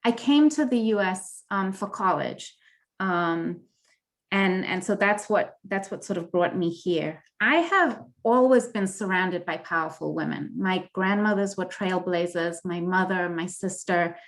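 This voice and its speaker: A woman's voice: lowish voice, unhurried. A middle-aged woman from Kenya and Tanzania, speaking earnestly and with animation as a panelist over a video call. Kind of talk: panel discussion.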